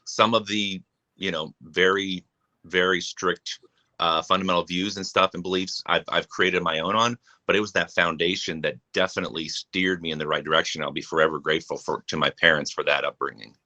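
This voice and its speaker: A man's voice: deep voice, fairly even intonation, fairly quick, bright, forceful tone. A young adult man from Japan, a guest in a casual live-stream chat, talking earnestly and often restarting his sentences.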